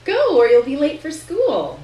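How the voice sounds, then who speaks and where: A woman's voice: sits high, wildly swooping pitch, a little slow, dark tone, voice pushed up, full character voice. A woman reading aloud as an anxious, imploring mother in a story who is eager to please. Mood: anxious sweetness. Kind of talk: storybook reading, character dialogue. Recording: roomy, distant mic, faint noise.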